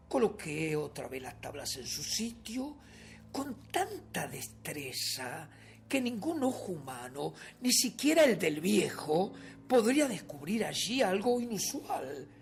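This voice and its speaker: A Uruguayan actor playing a nervous, obsessive murderer who insists he is sane, in a theatrical, drawn-out radio drama reading that swings between hushed and forceful. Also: high-pitched, gravelly, full character voice. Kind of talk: dramatic first-person horror story reading, radio theatre acting.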